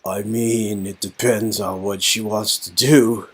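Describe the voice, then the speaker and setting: A man's voice: lowish voice, steady tone, slow, husky. A man reading an interactive story game aloud as narrator, with casual joking asides.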